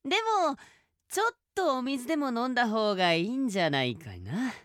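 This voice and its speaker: A woman's voice: fairly high pitch, very animated, brisk, a bit bright, no room sound, studio quality. A woman playing an exasperated, responsible young male samurai, the blunt, scolding straight man of a comedic audio drama. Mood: coldly menacing. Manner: blunt, scolding boyish speech.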